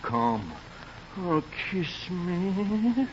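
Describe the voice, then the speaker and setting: Amorous suave lover, melodramatic, gushing, radio comedy, dull hissy recording. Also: male voice, high-pitched, very animated, extremely slow, heavily acted. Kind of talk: 1940s radio comedy acting. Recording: muffled old radio recording, faint hiss.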